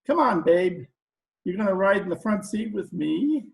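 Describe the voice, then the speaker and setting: A man playing a dim, bumbling henchman in a 1940s-style radio comedy, croaky, whiny and slangy. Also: sits high, expressive, low-quality recording. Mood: defensive, dopey. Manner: slow-witted, whiny, slangy, ungrammatical. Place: remote video-call performance.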